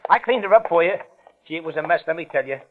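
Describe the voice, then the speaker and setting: An American actor playing an eager, folksy young working-class helper, chatty and deferential, in 1940s radio drama style. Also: male voice, fairly high pitch, expressive, fast talker, natural voice. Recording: narrow-band old radio recording.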